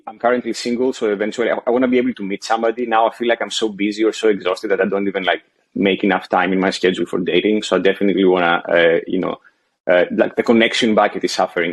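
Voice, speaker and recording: A man in his thirties, originally from Greece, calling in to a show and talking hesitantly with many restarts. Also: fairly low pitch. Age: 30s. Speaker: caller. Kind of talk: casual phone-in conversation.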